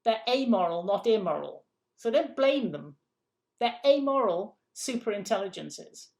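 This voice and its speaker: A woman's voice: mid-range voice, lively intonation, measured pace, a little mellow. An older woman with grandchildren, a guest in an interview, answering in a forthright, emphatic way and often repeating herself as she thinks aloud.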